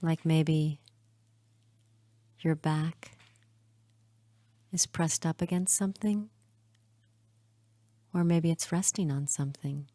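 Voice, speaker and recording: Very slow, low, mellow woman guiding meditation. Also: fairly even intonation, no room sound. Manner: soothing, hushed, with long pauses between short phrases. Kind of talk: guided hypnosis meditation.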